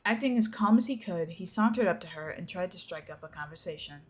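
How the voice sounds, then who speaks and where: A woman's voice: lowish voice, animated, a little fast, breathy edge. A young woman narrating a dramatic reading of a fan-fiction story. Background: music.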